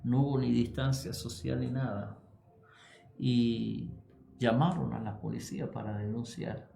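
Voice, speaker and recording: Man, radio host, gravelly, halting, echoey studio, faint hiss. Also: medium pitch, fairly even intonation, warm tone. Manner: halting, many "eh" pauses, answers with "tremendo".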